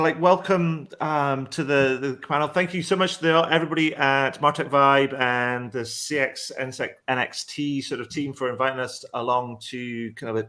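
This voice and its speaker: A man's voice: medium pitch, bright, forceful tone. A man moderating a panel in a casual, rambling way, with informal, complimentary talk.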